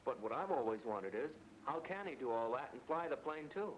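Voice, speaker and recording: A man's voice: mid-range voice, animated, brisk, lightly acted. A young man acting as an eager, respectful navy cadet in a 1940s training film. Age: young adult. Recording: dull, very noisy old film soundtrack.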